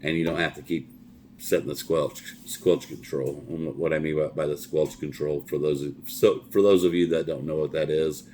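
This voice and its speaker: A middle-aged man with a very deep, low voice talks like a trucker giving followers his take on some gear. He is plain-spoken and folksy, doubling back on words in casual review chat. There is faint background noise on the recording. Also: fairly even intonation, normal speed, mellow.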